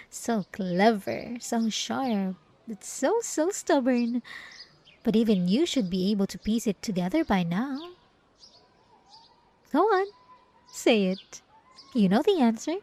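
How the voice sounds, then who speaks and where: A woman playing a possessive, obsessive ex-girlfriend in an ASMR roleplay, with drawn-out, cooing condescension and sweet menace. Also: medium pitch, dark tone. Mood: cooing, menacing. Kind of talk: ASMR roleplay monologue to the listener.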